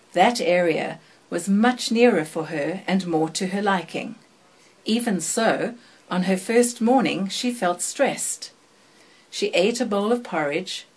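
An older woman from South Africa with a South African accent tinged by British and American sounds, reading a passage and then recalling her life in a measured, even way with thoughtful pauses. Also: on the low side, breathy edge, rough recording. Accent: South African, mixed with British and American. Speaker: accent archive speaker.

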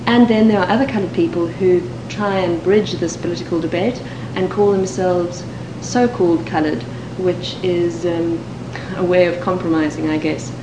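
A woman with a Cape Town South African accent, reading a set passage and then talking in a measured, thoughtful way with the odd um. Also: fairly low pitch. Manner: measured and thoughtful, with occasional ums. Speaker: dialect archive speaker. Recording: echoey room with steady background hiss.

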